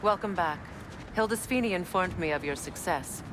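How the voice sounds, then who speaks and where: A proud warrior goddess queen, as a woman voices her, measured and commanding. The voice sits a little low for a woman. A steady noise bed runs under the game audio. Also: lightly acted. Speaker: video game character.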